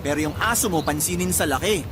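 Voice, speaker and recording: A man's voice: on the high side, fast talker, very noisy, natural voice. A man playing a cocky, wisecracking young bachelor who teases his friend in an audio drama. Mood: playful, mocking. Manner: teasing, playful, wisecracking. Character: cocky, teasing young bachelor with strong personality. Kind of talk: conversational audio drama dialogue. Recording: loud music bed under the voice. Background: music.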